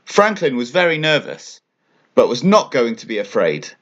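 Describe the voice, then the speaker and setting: A man reading a children's bedtime story aloud as an expressive narrator. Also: medium pitch, normal speed, muffled recording.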